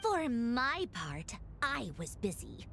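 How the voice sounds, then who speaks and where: A woman voicing a sharp-tongued, exasperated harbinger in an anime game, sardonic and dramatically emphatic. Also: on the high side, big pitch swings, slow, creaky, soft background music, heavily acted. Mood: wry, weary. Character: sharp-tongued, exasperated female harbinger with long-held grudges. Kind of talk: anime video game dialogue.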